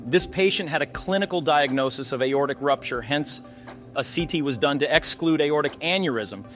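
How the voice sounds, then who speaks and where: A man's voice: fairly high pitch, normal speed, a bit bright. Man explaining medical cases over music. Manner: instructional, poses questions to the viewer. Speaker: radiology instructor presenting cases. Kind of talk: explanatory case walkthrough narration.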